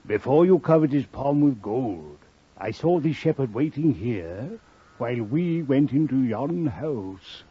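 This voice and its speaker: An actor playing a sly, ominous fortune-telling thief with a husky voice and incantatory delivery in a 1950s radio drama. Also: male voice, mid-range voice, animated, a little slow. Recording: muffled old 1950s radio recording.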